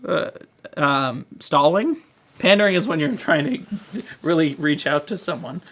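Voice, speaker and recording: A man with a higher-than-usual voice co-hosts a casual review podcast. He is animated and foul-mouthed, joking freely and chuckling through his words. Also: a little slow. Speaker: podcast co-host.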